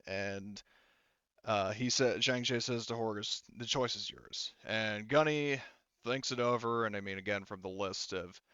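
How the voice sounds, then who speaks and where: A man improvising narration as a tabletop game master, conversational, halting and casually profane. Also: fairly low pitch, pushed and bright, clear, resonant tone, dry recording, highs cut off.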